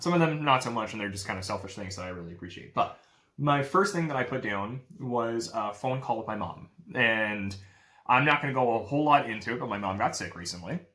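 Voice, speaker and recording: A man's voice: mid-range voice, sharp tone. A young man talking casually to camera in a vlog, upbeat and rambling, with many fillers. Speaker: real estate agent vlogger.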